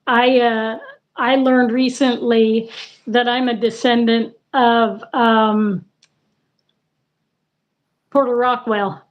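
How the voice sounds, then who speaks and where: A woman in her sixties, a guest on a casual livestream chat, talking in an unhurried, rambling way. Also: fairly high pitch, level tone. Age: 60s. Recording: dull, lo-fi call audio.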